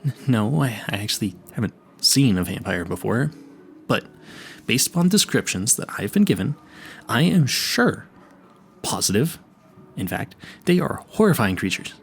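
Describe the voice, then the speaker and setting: A man's voice: medium pitch, sing-song, normal speed, vocal fry, slight background noise. A man playing a clueless, earnest vampire hunter in an audio roleplay, stammering and rambling in a politely formal, pleading way. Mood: earnest, nervous. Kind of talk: intimate audio roleplay addressed to the listener.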